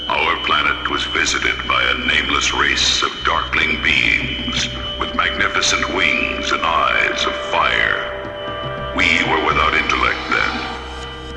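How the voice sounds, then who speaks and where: An actor playing an ancient, imperious alien high priest in a science fiction radio drama, speaking in a solemn, portentous manner. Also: male voice, gravelly, heavily acted. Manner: slow, portentous, formal. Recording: cavernous echo, music underneath.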